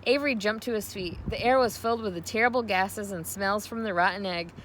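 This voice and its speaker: A woman giving an expressive read-aloud narration of a children's novel. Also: medium pitch, brisk, a little hiss or hum, poor audio. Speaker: storybook reader.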